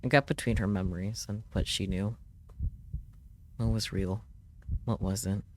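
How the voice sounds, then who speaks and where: Low-voiced man narrating, noisy background. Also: steady tone, a little slow. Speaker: streamer reading a visual novel aloud. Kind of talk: dramatic read-aloud narration of a game script.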